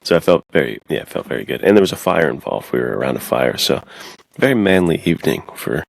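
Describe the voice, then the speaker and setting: A man's voice: a bass voice, sing-song, quick pace, vocal fry, dry recording. A man co-hosting a podcast, chatting casually with informal, conversational phrasing. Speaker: podcast co-host.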